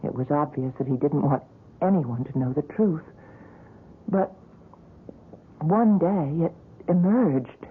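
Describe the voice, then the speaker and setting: A woman's voice: low voice, takes it slowly, long pauses, slightly rough, full character voice. An actress playing an earnest young woman in love in a 1970s radio drama, speaking in a pleading way. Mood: recalling. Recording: tinny 1970s radio broadcast.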